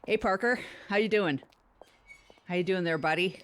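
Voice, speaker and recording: A breezy female podcast producer, played by a woman in improvised comedy banter. She rambles on in a chatty deadpan, her voice slightly low. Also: normal speed, clear, resonant tone, lightly acted. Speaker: producer giving a demo.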